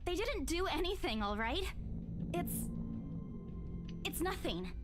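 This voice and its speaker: A woman's voice: extremely high pitch, sing-song, slow, full character voice. An actress playing a stressed, evasive young woman in a visual novel, hesitant and easily flustered. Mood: defensive, stressed. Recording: music behind the voice.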